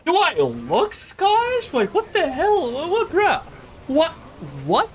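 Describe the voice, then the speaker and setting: A man's voice: very high-pitched, huge pitch swings, slow, a little hiss or hum. A young man co-hosting a casual podcast, butting in with mocking, exasperated and crude remarks. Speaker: podcast co-host. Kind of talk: casual chat, heckling.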